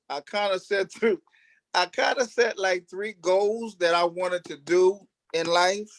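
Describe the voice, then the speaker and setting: A man's voice: sits high, no echo. A man who acts on stage, casually telling stories about himself as an interview guest. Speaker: stage actor being interviewed. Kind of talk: casual storytelling.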